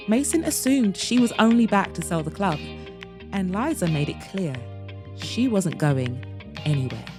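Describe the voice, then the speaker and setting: A woman hosting a podcast and narrating an audiobook in a dramatic, expressive way, with slow emphatic pauses. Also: fairly low pitch.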